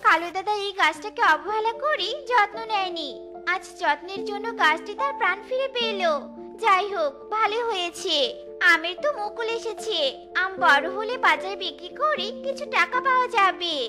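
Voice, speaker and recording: A woman's voice: extremely high pitch, expressive, normal speed. A woman voicing a kind, earnest young female bird in a children's cartoon, sweet and polite. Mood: proud, firm. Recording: loud children's music underneath.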